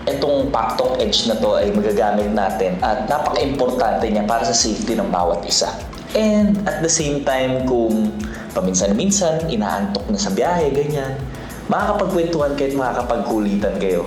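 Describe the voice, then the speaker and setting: Filipino guy doing casual vlog talk, kinda deep, echoey room, music behind him. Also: sing-song, normal speed. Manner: conversational, explanatory. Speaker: motorcycle vlogger. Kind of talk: casual vlog narration in Tagalog-English mix. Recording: roomy echo, loud background music.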